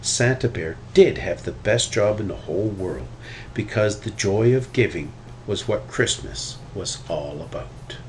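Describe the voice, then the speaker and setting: A man reading a children's story aloud with expressive, animated delivery. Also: on the low side, unhurried, a little hiss or hum, low-quality recording. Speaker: storybook reader.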